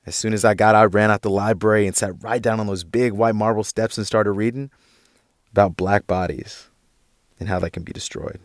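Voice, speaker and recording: A man's voice: on the low side, steady tone, a little fast, no echo, clean studio sound, natural voice. A man playing a hurt, defiant younger brother in a naturalistic audio drama, with an American accent and brooding, slangy speech. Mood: brooding, wounded.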